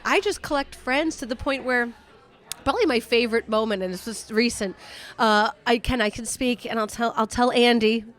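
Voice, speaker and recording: A woman guest comedian telling stories in casual, animated chat, talkative and exuberant. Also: on the high side, moderate pace. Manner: exuberant, self-confessed "a lot", talkative. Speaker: guest comedian and cruise ship entertainer.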